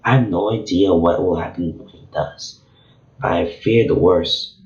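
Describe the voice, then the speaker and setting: Hoarse man, very deep voice, halting amateur reading, echoey room. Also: darkish tone. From Venezuela. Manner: casual, halting reading with frequent slips. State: hoarse, losing his voice, sore throat. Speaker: channel host reading a story. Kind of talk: reading a fan novel chapter aloud. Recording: echoey room, dull lo-fi sound.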